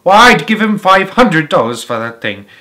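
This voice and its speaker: A man voicing an indignant woman in a crowd who makes a loud, outraged bid. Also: on the high side, flat delivery, brisk, voice pushed up, close to an everyday voice.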